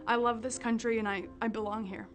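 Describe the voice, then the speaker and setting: A woman's voice: on the high side, level tone, fairly quick. A woman in her twenties from Mexico, giving an earnest, heartfelt testimony to camera in a documentary interview. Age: 20s. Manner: earnest and heartfelt, with small restarts. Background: soft music.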